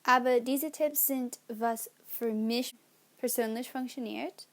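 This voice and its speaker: A young woman whose first language is English, speaking German with an English accent as she hosts her own study-tips channel, halting often to correct herself. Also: a little high, steady tone. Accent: English-speaking learner of German. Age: young adult. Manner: slow and halting, with pauses and self-corrections. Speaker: student channel host. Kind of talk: casual study-tips vlog.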